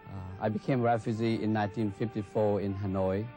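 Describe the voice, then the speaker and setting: Older Vietnamese-accented man, low, flat, hesitant; documentary interview over music. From North Vietnam. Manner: measured, hesitant with pauses. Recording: music bed under the voice.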